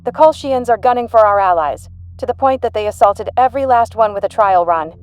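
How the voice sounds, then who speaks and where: A woman's voice: on the high side, expressive, fairly quick, faint music behind, lightly acted. A woman playing a shrewd, unflappable human intelligence general in an audio drama, composed, dry and authoritative. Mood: cool, assured.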